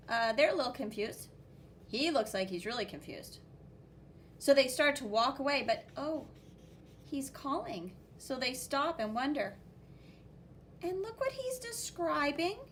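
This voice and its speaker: Woman, high voice, unhurried storytime teacher delivery, expressive with spoken sound effects, noisy recording. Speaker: art teacher reading a picture book aloud. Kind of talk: animated children's read-aloud with commentary.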